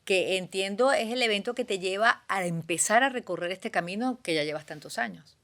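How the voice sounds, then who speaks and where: Mujer conductora de entrevista radial. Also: female voice, mid-range voice, fairly quick, fairly bright tone. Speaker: radio show host. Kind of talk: interview questions.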